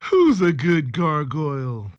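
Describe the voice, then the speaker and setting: A man's voice: on the high side, wildly swooping pitch, takes it slowly, no room sound, muffled recording, exaggerated voice. An actor playing a smug man who dotes on a pet monster, in a cheesy horror audio drama. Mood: doting, cooing. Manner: grumbling, then cooing baby talk.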